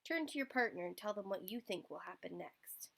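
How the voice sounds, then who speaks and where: Animated woman reading to children. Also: medium pitch, brisk, vocal fry. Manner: expressive, addresses child listeners with questions. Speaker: storyteller for young children. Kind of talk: interactive picture book read-aloud.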